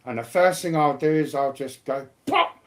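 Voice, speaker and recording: A man's voice: fairly high pitch, normal speed. Blunt, sweary man. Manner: blunt, combative, repetitive slangy talk. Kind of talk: casual, heavily sweary chat.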